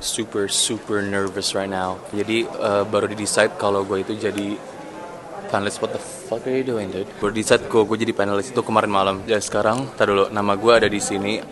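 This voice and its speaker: A man's voice: fairly low pitch, level tone, fast talker, a crowd in the background. Young man vlogging from a car. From Indonesia. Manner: joking, nervous, rambling with fillers. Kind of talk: casual vlog chat mixing Indonesian and English. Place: inside a car, then a conference hall. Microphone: handheld camera at arm's length.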